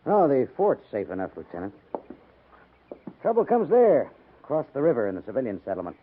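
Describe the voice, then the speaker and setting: An actor playing a gruff but easygoing cavalry major with an authoritative manner in a 1950s radio drama. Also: male voice, mid-range voice, huge pitch swings, brisk, narrow, tinny audio. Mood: emphatic. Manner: emphatic, authoritative. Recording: noisy band-limited 1950s radio recording.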